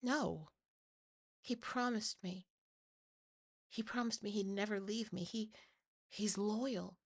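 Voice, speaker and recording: A woman's voice: mid-range voice, slow, husky, no echo, clean studio sound, voice pushed up. A woman voicing a young, insecure monster girlfriend, pleading and defensive with a trembling delivery, for a horror ASMR story. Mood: hurt, desperate.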